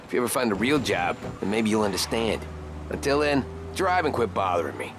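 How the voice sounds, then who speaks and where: A man's voice: lowish voice, sing-song, fairly quick, background noise. An actor playing a smug, impatient businessman passenger in a game cutscene, brusque and condescending. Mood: smug, lecturing. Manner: brusque, pompous.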